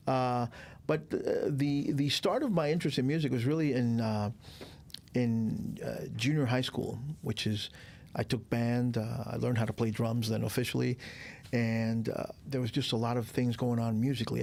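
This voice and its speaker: A man in his sixties, from Cuba, speaks as a guest with a gravelly, fry-tinged voice at an ordinary middle pitch. He rambles through his stories in a relaxed, easygoing way, leaving frequent pauses and fillers. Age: 60s. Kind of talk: casual interview chat.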